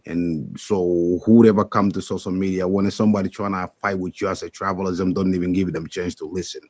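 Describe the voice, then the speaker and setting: A man's voice: deep voice, fairly even intonation, brisk, darkish tone, no echo. A man on a live call-in discussion, rambling with loose, broken grammar. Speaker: call-in participant.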